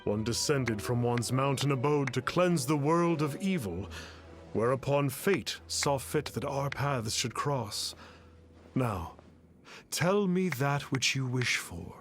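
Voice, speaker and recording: Pompous, theatrically lilting self-styled immortal sage. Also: male voice, medium pitch, expressive, takes it slowly, faint music behind. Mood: lofty, smug. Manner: pompous, archaic, grandiose phrasing. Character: pompous self-proclaimed immortal adept, a male fortune-telling charlatan. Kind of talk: theatrical video game voice acting.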